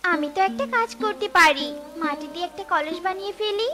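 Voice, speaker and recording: A woman's voice: extremely high pitch, brisk. A woman voicing a kind, earnest young female bird in a children's cartoon, sweet and polite. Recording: loud children's music underneath.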